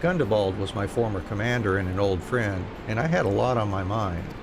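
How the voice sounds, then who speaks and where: Low, gravelly man streaming a game. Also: brisk, over music. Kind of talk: reading game dialogue aloud with casual chat. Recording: steady noise behind the voice.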